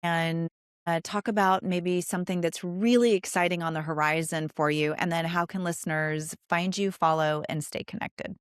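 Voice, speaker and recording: Effusive woman host, smooth low voice. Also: fairly even intonation, moderate pace, no echo, studio quality. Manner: effusive, encouraging, repeats phrases for emphasis. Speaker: podcast host and producer. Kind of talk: warm faith-based interview chat.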